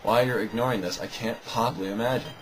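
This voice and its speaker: A man's voice: fairly low pitch, steady tone, fast. A man giving a scripted, argumentative rebuttal commentary in a sarcastic, dismissive way. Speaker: video narrator rebutting another video.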